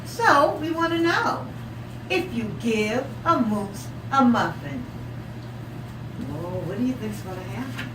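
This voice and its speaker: High, lively woman reading aloud, echoey room. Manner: encouraging, repeats children's answers; expressive, drawn-out emphasis on story words. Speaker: teacher reading a picture book to young children. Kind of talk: interactive read-aloud storytelling with questions. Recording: echoey room, distant mic, loud background noise.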